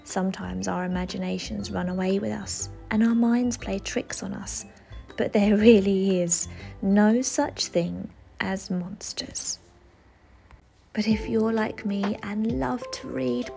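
A woman storyteller reading a children's bedtime story aloud, expressive, with dramatic emphasis. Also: mid-range voice, a little slow, creaky, soft background music. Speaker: storyteller, channel host.

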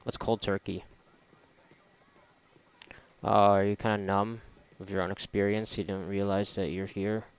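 A man's voice: on the low side, level tone, unhurried, long pauses, clean tone. A man giving casual, sarcastic, profanity-laced gameplay commentary, often muttering to himself. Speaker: gamer doing a playthrough.

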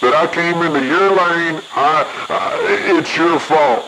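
Slow, insistent trucker man over noisy CB. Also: a little high. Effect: CB radio. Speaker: truck driver. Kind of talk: heated CB radio exchange. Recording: very noisy CB radio transmission.

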